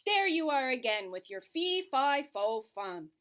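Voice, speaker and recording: Bossy ogre's wife, acted by a woman, high, bright, swooping, scolding, coaxing, puppet show. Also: very animated, unhurried, exaggerated voice. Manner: sing-song, swooping between scolding and coaxing. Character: ogre's great big wife, brisk, bossy, scolding, wheedling.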